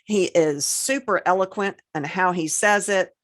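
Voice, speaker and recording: A woman's voice: fairly low pitch, measured pace, no room sound, studio quality. A woman co-hosting a podcast, chatting casually and retelling examples with emphasis. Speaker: podcast co-host. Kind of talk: casual chat, recounting film examples.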